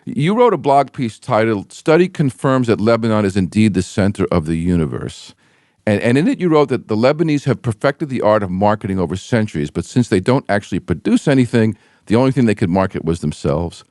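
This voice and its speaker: A man hosting a radio show, giving a scripted introduction and asking measured, prepared questions. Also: fairly low pitch, very animated, a little mellow, dry recording, studio quality.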